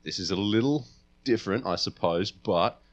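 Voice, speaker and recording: A man's voice: lowish voice, normal speed, dull audio. A man co-hosting a podcast in casual chat, talking in an opinionated, colloquial way. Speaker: podcast co-host.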